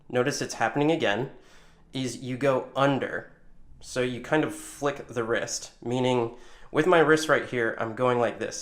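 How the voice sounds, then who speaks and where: A man's voice: medium pitch, fairly bright tone. A man giving an informal hands-on demonstration, talking through each movement step by step. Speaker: tutorial presenter. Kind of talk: casual hands-on instructional demonstration.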